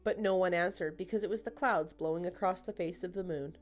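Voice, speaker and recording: A woman's voice: on the low side, a little fast, soft background music. A woman narrating a children's picture book as a scripted storytime read-aloud.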